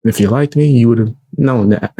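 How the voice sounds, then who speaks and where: A man with a low voice, commenting casually and with slang on a live stream, laid-back with chuckling asides. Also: normal speed, mellow, dry recording.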